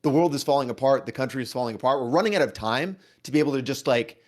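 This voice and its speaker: A man of middling pitch chats casually as a guest. He is earnest and emphatic, rambling from point to point and swearing freely. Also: very fast, a little mellow. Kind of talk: casual chat with heavy swearing.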